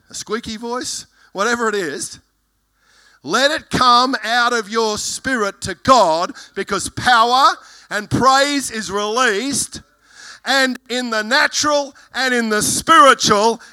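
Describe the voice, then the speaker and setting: Man, pastor, sermon, loud voice, fervent, high-pitched, sharp tone. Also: unhurried, smooth. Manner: fervent preaching, reading scripture verses aloud.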